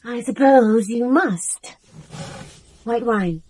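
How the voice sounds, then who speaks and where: A woman's voice: fairly high pitch, extremely slow, warm tone, rough recording. An actress playing a proud, prickly young woman in a radio drama, guarded and curt in her replies. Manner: curt, defiant, short replies.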